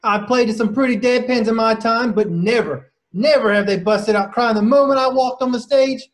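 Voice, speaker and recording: A man's voice: very high voice, normal speed. A man acts out a flashy young vaudevillian in a stage play reading. He is jovial and none too sensitive, tossing out slangy wisecracks with a theatrical swagger. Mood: jovial bluster. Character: flashy young male vaudevillian, jovial, good-natured, insensitive joker. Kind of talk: play table read.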